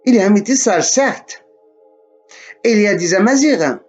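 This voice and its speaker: A man from Morocco giving a slow, deliberate spoken lesson, often repeating and correcting himself. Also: sits high, lively intonation, soft background music.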